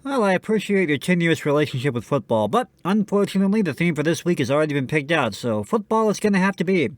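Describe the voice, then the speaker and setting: A man's voice: on the high side, very animated, fast talker, no room sound, clean studio sound. A man hosting a radio show with scripted banter and introductions.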